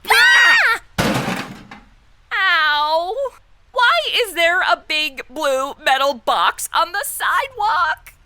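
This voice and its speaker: Woman acting a high, snarky young sidekick. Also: big pitch swings, extremely slow, bright, forceful tone, slight background noise, heavily acted. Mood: exasperated. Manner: snarky, exclamatory, modern slangy talk. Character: sarcastic, exasperated young female reluctant superhero sidekick. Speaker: regular lead of comedy podcast. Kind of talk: comedic radio-play acting.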